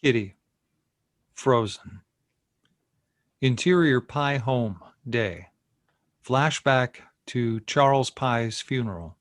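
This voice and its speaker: A man of medium pitch narrates screenplay directions. He reads in short, matter-of-fact phrases, recorded very dry and close. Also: expressive, slow pace, pauses often, no echo. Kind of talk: scripted narration at a screenplay table read.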